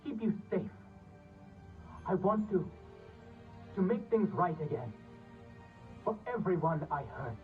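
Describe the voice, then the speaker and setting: A man's voice: high-pitched, very animated, unhurried, pauses often. A man playing a nervous, guilt-ridden tech ally in a video game, heard through a radio-like filter. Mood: hesitant, remorseful. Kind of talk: video game cutscene acting. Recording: thin phone-like voice, distant, music behind.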